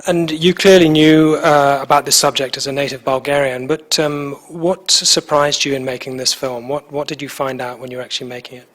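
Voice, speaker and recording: A man's voice: medium pitch, fairly even intonation, fairly quick, few pauses, fairly bright tone. A man working as an interviewer, asking questions conversationally with informal, hesitant phrasing.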